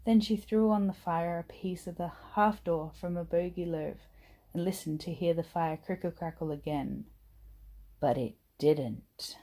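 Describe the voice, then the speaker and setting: A woman reading a children's bedtime story aloud in an expressive, acted-out manner. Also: fairly low pitch, a little slow. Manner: animated, acts out dialogue and sound words. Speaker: storybook reader.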